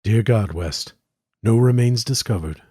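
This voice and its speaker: An actor playing an earnest, cautious young medical student in a horror audio drama, speaking politely and formally. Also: male voice, fairly low pitch, measured pace, noisy background, close to an everyday voice. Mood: alarmed.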